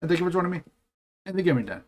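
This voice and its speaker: A man streaming a strategy game who thinks aloud in a relaxed way, his voice a little raspy and tired. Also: high-pitched, rapid-fire. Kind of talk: live gameplay commentary.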